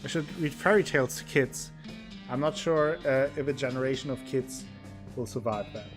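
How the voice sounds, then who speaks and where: A man streaming a game, reading its text aloud and joking between the lines. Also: normal speed. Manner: playful, reads narration with exaggerated pitch swings. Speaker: gameplay streamer. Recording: music playing underneath.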